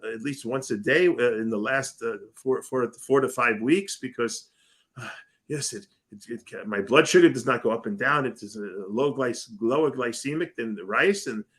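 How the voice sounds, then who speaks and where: A man voicing a stubborn male bodybuilder, earnest and insistent, with halting repeats. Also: medium pitch, fairly bright tone, breathy edge. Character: male bodybuilder who is stubborn about his diet.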